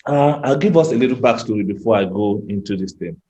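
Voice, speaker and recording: A man's voice: lowish voice, animated, fast talker, dark tone, husky. A Nigerian man in his fifties giving a chatty personal talk as a guest speaker, often repeating words and restarting his sentences. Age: 50s. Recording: muffled lo-fi sound.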